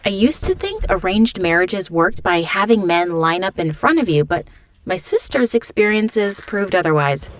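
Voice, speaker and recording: A young woman giving a scripted first-person narration of an animated story, in an expressive, confiding storytelling manner. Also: mid-range voice, normal speed, low-quality recording.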